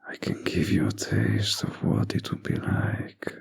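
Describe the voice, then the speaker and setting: A man playing a flirty, manipulative fantasy villain in a romantic audio roleplay, teasing and insinuating in a husky, breathy voice. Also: extremely low pitch, animated, exaggerated voice. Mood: intimate, breathy. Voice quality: husky, breathy, often near a whisper. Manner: slow, teasing, seductive, insinuating.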